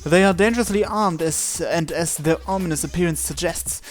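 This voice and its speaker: Slightly high-voiced man reading aloud. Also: fairly quick. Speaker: let's player reading visual novel aloud. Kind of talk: narration read aloud, first person. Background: game music.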